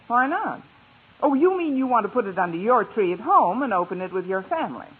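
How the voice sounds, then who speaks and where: An actress playing a wry, courteous single schoolteacher in a vintage radio sitcom, with a dry delivery. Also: female voice, a little high, very animated, a little fast, husky. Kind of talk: 1950s radio sitcom acting and narration. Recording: tinny, hissy old radio recording.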